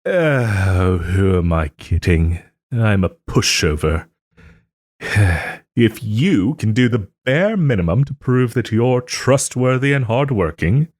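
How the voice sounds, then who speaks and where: A man playing a smug but soft-hearted nobleman and former lover in an audio roleplay, suave and refined, with gentle teasing and hesitant pauses. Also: mid-range voice, dry recording, studio quality. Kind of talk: audio roleplay, dramatic monologue to listener.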